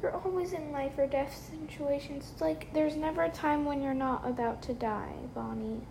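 A woman's voice: high-pitched, normal speed, gravelly, noisy background. A young woman hosting a casual solo podcast from her bedroom late at night, chatty and rambling with lots of fillers, sounding tired. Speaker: podcast host.

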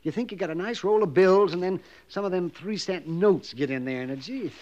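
A man's voice: high-pitched, fairly quick, a little mellow, lightly acted. A man playing a miserly, money-loving American frontier storekeeper in a 1950s radio western, hemming and muttering fussily to himself. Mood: fretful. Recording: muffled, noisy old 1950s radio recording.

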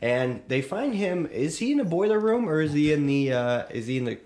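A man's voice: on the high side, sing-song, brisk, a little mellow. Casual joking man in an echoey room. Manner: crude joking, informal. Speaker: podcast co-host. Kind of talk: casual chat recapping a wrestling show.

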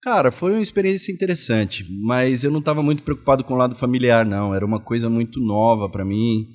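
A man in his forties with a Brazilian accent and an average-pitched voice. He talks casually as a guest, in informal, slangy language, as if among friends. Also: fast talker, a little mellow. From Salvador, Bahia, Brazil; moved to São Paulo state as a child. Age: 40s. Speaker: interview guest. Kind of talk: casual chat.

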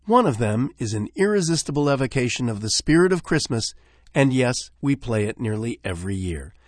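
A man's voice: mid-range voice, big pitch swings, fairly quick, no room sound. An American man hosting a radio show, presenting from a script on a close studio microphone. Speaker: radio host.

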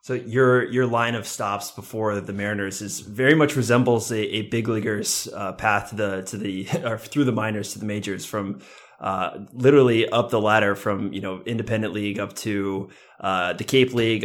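Low-ish voiced guy hosting an interview, lots of uhs and restarts. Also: fairly even intonation. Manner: casual, halting questions with fillers. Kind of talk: podcast interview.

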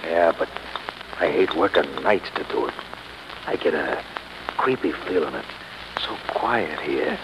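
Nervy gravelly workman, old radio drama. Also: rumbling low, expressive, unhurried, pauses often, exaggerated voice. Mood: uneasy. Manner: colloquial, nervous. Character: uneasy, superstitious working-class church repairman. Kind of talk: 1930s radio acting. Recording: noisy vintage 1930s radio recording.

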